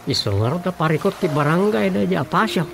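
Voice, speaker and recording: An actor playing a villager eager to spread scandal in a Filipino radio drama, with a Filipino accent. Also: male voice, high voice, very animated, fast talker, vocal fry, background noise, full character voice. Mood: gossiping.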